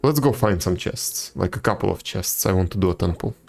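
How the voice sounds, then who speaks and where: A man's voice: sits low, animated, brisk, vocal fry, darkish tone, faint music behind. A man chatting casually as a game streamer, commenting to himself in a wry, self-deprecating way. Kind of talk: casual live gameplay chat. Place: indoors, streaming, game music behind.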